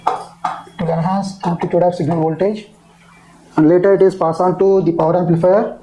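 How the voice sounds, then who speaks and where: A man teaching in an explanatory lecture style, with a halting, repetitive delivery. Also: sits high, flat delivery, mellow, a little hiss or hum, low-quality recording.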